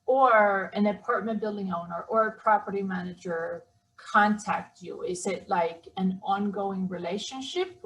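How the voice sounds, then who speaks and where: A woman's voice: mid-range voice, far from the mic, poor audio. A woman from Sweden with a Swedish accent, hosting an interview with upbeat enthusiasm. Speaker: host. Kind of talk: interview chat.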